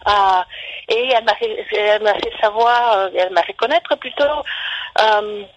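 A woman's voice: medium pitch, steady tone, moderate pace, highs cut off. A woman professor explaining her views as a radio guest, hesitant, with many restarts and fillers.

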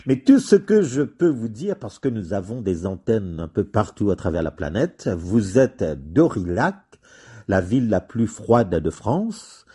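A man hosting a radio interview, chatty and lively in his questions. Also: fairly low pitch, unhurried, slightly rough. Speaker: radio host.

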